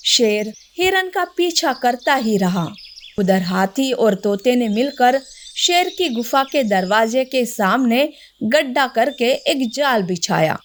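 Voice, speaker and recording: A woman's voice: on the high side, lively intonation, slow, slight background noise. A woman narrating a scripted children's story.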